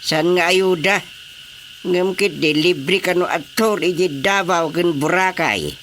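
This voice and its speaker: A man's voice: high voice, slow pace, a bit bright, a little hiss or hum. An actor with an Ilocano accent playing a chatty, teasing elderly village chairman in a radio drama comedy. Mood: informative.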